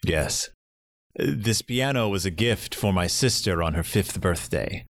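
An actor in an audio drama playing a courteous, lonely grandfather in his seventies, speaking gently and reflectively. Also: deep voice, expressive, measured pace, no room sound. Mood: wistful, reminiscing.

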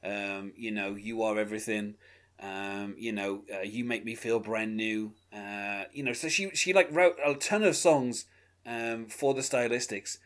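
A man's voice: lowish voice, steady tone, unhurried, a bit bright. A man from the UK hosting a music podcast, talking conversationally with many "um"s and "you know"s. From United Kingdom. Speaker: podcast host.

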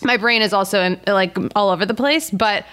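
A woman's voice: mid-range voice, fairly quick, fairly bright tone. A woman in her thirties hosting a podcast over a video call, chatty and rambling, laughing often. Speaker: podcast host. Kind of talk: casual podcast chat.

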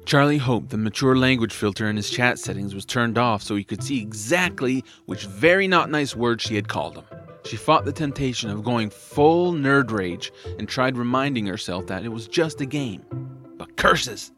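A man's voice: mid-range voice, expressive, normal speed, fairly bright tone. Male audio drama narrator over music.

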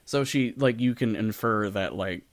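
A man with a fairly low voice, recorded close and dry. He talks like a podcast co-host in casual discussion, informal and rambling as he works his thoughts out aloud. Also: measured pace, no room sound. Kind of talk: casual discussion of storytelling in audio and stage.

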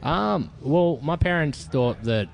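A man's voice: a little high, slow pace, creaky. An Australian man in a band, a guest on a podcast, telling loose stories with casual swearing. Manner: animated storyteller, swears casually. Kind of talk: casual interview chat.

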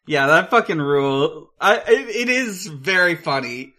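Slow, halting, bright-voiced podcast co-host. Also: mid-range voice, expressive. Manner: hesitant, halting delivery with long searching pauses. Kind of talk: casual film discussion and recap.

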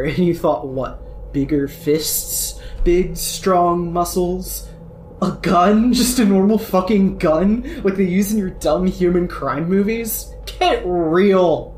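A cocky, taunting troll girl, voiced by a woman, full of scorn and swagger. Her pitch swings widely as she lectures, and she swears without a second thought. The recording carries a roomy echo and a faint hiss. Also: darkish tone. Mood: scornful. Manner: sarcastic, taunting, swears freely. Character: cocky brash female troll gamer. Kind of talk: amateur audio drama fandub. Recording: echoey room with faint noise.

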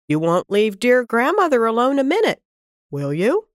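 A woman voicing a kind, busy young mother in a children's storybook, speaking gently and fondly. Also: fairly high pitch, wildly swooping pitch, normal speed, no room sound, clean studio sound, voice pushed up. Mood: gentle.

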